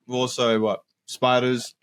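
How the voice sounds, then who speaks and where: A man's voice: mid-range voice, flat delivery, unhurried, a bit bright, clear, resonant tone. A young man from Australia, a podcast co-host, joking and rambling in casual slangy chat. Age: young adult.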